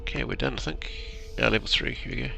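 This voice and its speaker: Low gravelly guy chatting casually over his game playthrough, music going behind him. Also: fast talker, pushed and bright. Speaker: gamer commentating on his playthrough. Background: video game music.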